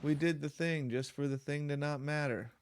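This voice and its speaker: A man hosting a let's play, giving calm, casual commentary on a game. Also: a little high, normal speed, clear, resonant tone, dry recording, studio quality.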